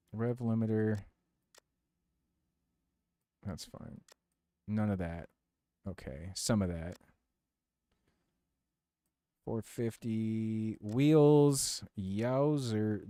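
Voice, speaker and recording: A man's voice: lowish voice, drawn-out words, pauses often, dark tone, no echo, studio quality. A man casually chatting to his stream while tuning and driving in a racing game, joking and talking to himself. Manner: rambling, jokey, self-talk while tuning. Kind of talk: casual gameplay commentary. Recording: noisy game audio behind voice. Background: racing game engine sounds.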